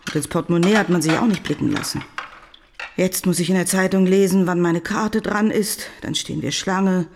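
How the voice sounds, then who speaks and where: An actress playing a middle-aged, worried mother of a returned soldier in a radio drama, plaintive and gently reproachful. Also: fairly low pitch, normal speed, background noise, natural voice. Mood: plaintive, nostalgic. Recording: faint music behind the voice. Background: faint music.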